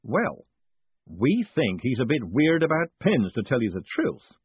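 A man's voice: mid-range voice, huge pitch swings, measured pace, slightly rough, no room sound, pitched above the performer's own voice, exaggerated voice. A man voicing a big, keen pin-shop keeper in an audiobook, proud and furtive, with a knowing, confiding salesman's patter. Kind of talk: audiobook character acting. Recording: muffled narrow phone-like sound.

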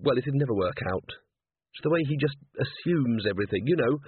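Guarded, terse storybook watchwoman, acted by a man, slightly husky, dry humour, radio-like band. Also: mid-range voice, lively intonation, a little fast, no room sound. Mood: brisk, guarded. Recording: tinny band-limited radio-like sound.

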